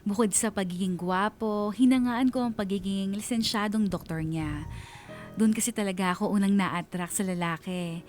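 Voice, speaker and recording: A woman's voice: mid-range voice, moderate pace, a little mellow, soft background music, natural voice. An actress playing a wistful woman in her late twenties with a Filipino accent, narrating her love story in a radio drama. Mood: fond, reflective. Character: wistful twenty-nine-year-old female bank manager recounting lost love.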